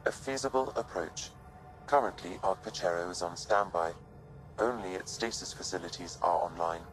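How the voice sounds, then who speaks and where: Actor as artificial intelligence, deep male voice, precise, calm, detached, video game. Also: normal speed, faint music behind, close to an everyday voice, rough recording. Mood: neutral, analytical. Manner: formal, detached.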